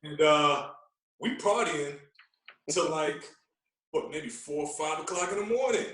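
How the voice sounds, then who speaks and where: A man speaking as a podcast guest in casual storytelling style, animated and given to repeating himself. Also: on the high side, unhurried, echoey room, far from the mic, slight background noise. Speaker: podcast guest, radio personality.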